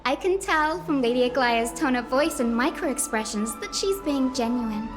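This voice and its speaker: Very high-pitched bubbly young healer girl. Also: moderate pace, over music. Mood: cheerful, reassuring. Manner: cheerful, gushing, excitable. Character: sweet, bubbly, eager young healer priestess. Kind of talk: video game voice acting.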